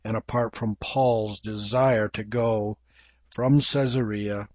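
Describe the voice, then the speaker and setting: An older man with an English accent, preaching a measured, reflective Bible teaching with frequent pauses. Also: fairly low pitch, steady tone, darkish tone, no room sound.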